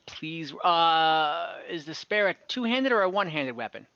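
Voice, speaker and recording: A man with a fairly high, bright voice runs a tabletop game as game master. His delivery is casual and conversational, over slightly dull online call audio. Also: animated, unhurried, creaky, clear, resonant tone, no room sound.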